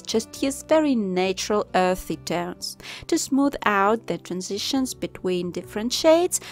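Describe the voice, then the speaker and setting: Calm woman narrating a craft tutorial. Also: a little high. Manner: calm, instructive. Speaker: craft channel host. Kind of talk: step-by-step voice-over narration. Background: soft background music.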